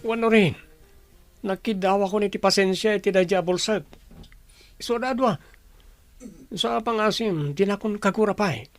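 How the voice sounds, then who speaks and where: A man's voice: high voice, moderate pace, long pauses, natural voice. An actor with an Ilocano accent playing a complacent man in a radio drama. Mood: apologetic. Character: complacent man living off his child's money.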